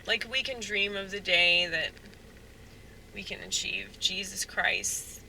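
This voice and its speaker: Soft-spoken man with a very bright voice. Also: high-pitched, creaky, slight background noise, poor audio. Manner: halting, soft-spoken, full of fillers. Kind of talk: rambling casual monologue.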